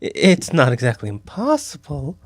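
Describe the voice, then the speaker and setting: A man playing an indignant, nervous young student mage in an anime parody dub, flustered and excitable. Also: a little high, normal speed, no echo.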